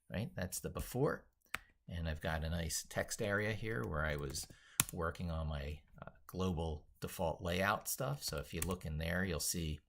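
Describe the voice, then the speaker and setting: A man's voice: sits low, creaky, fairly bright tone. A man hosting a casual tech livestream, rambling and thinking aloud as he works through things on screen and reads text out. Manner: rambling, thinking aloud while working at computer. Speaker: livestream host, tech educator.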